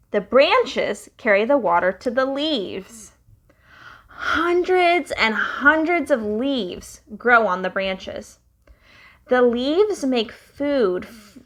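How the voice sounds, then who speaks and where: A young woman reading a picture book aloud to children in a slow, sing-song storytelling way. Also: a little high, a bit bright.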